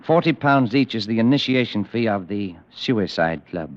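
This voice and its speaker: Guy doing a slick creepy recruiter, lowish voice, old radio sound kinda dull. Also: expressive, normal speed, natural voice. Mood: persuasive. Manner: suave, persuasive, ominous. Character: smooth, sinister recruiter for a deadly club. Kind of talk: old-time radio drama.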